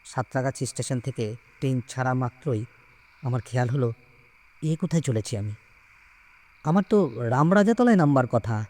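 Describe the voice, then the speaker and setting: A man's voice: medium pitch, dark tone. Man narrating a suspenseful story. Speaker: storyteller reading a ghost story aloud. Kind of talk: dramatic scripted story narration.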